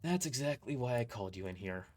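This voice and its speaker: A man's voice: mid-range voice, lively intonation, a little mellow, natural voice. A man as a weary, grave mercenary boss. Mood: exhausted. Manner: measured, grave, matter-of-fact.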